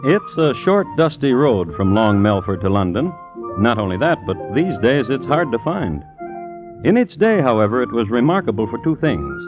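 A man's voice: medium pitch, very animated, moderate pace. A wry, mock-solemn man narrates a vintage radio drama with wide-ranging intonation. His voice is tinny and narrow, with music behind it. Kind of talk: scripted old-time radio drama narration.

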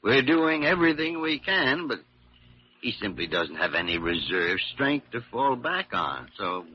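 A man playing a grave, concerned hospital doctor in a classic radio drama, speaking in a weary, sober, halting way. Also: medium pitch, big pitch swings, slight background noise. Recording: dull, muffled old radio recording.

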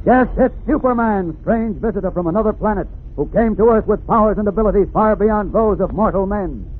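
An American man declaims as the narrator of a 1940s radio serial. His voice is fairly high and dramatic, heard through a narrow, slightly noisy old recording. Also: fairly quick. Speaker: announcer and narrator. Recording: vintage radio, narrow band, faint noise.

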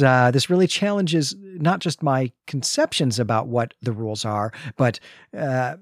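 A man's voice: mid-range voice, no room sound, clean studio sound. A man hosting a literary discussion, explaining and recapping in an articulate way, often checking his points with a tag question. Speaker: podcast host.

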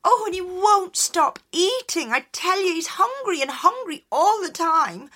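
A woman voicing a kind-hearted young peasant mother, pleading in a high, breathless voice. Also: big pitch swings, a little slow, bright, forceful tone, full character voice. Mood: exasperated.

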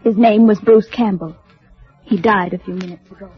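A husky nurse on old radio. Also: female voice, medium pitch, fairly even intonation, normal speed. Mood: sober. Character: nurse soberly reporting a patient's death. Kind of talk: 1940s radio acting. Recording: muffled old radio recording, faint music.

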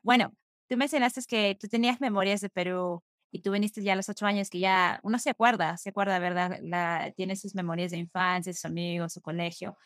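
A woman's voice: fairly low pitch, fairly even intonation, a little fast, fairly bright tone, no echo, studio quality. A woman from Peru with a Mexican-tinged Spanish accent who hosts the interview, chatting and asking questions in a friendly, sing-song way. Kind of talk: conversational interview.

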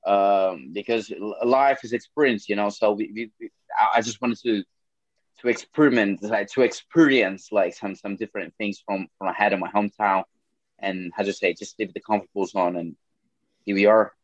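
A man's voice: on the low side, steady tone, moderate pace, dry recording, highs cut off. A young man from Brazil with a Brazilian Portuguese accent, speaking English as a second language in a casual interview, often repeating words and swearing casually. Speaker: MMA fighter.

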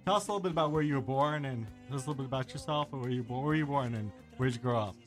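A man hosting a radio show in a casual interview style, speaking informally and haltingly. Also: medium pitch, soft background music.

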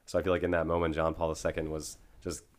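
Man, very low pitch, co-host, reflective. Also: monotone, quick pace. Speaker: podcast co-host. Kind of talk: casual podcast chat.

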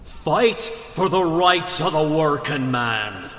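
A man's voice: on the high side, animated, slow pace, a bit bright. A man playing a rousing, theatrical Irish working-class rebel orator in a radio drama. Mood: indignant. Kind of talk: radio drama political speech. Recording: echoey hall with long reverb.

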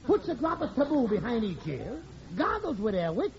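An actor playing a nosy, gleefully alarmist neighbor in a 1940s radio comedy, slangy and theatrical. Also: male voice, extremely high pitch, huge pitch swings, moderate pace, vocal fry, exaggerated voice. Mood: gleefully ominous. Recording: muffled, noisy old radio recording.

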